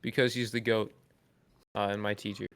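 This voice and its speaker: A man's voice: fairly low pitch, little pitch movement, normal speed. A man co-hosting a podcast in casual, slangy banter, teasing his friends playfully as he steers the discussion.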